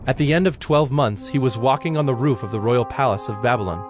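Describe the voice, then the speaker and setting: A man narrating scripture as a scripted story, in a solemn, measured way. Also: medium pitch, over music.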